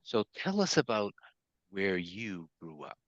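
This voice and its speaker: A man's voice: low-pitched, very animated, unhurried, fairly bright tone, highs cut off. A man interviewing, asking short prompting questions.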